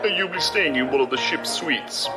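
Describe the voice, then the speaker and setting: A man's voice: fairly high pitch, moderate pace, close to an everyday voice. A man playing a polite, helpful servant in an audio drama, deferential and courteous. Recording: music behind the voice. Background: music.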